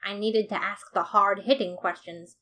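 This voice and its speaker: A woman narrating a fan fiction story as a podfic reader, in a steady, measured way. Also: medium pitch.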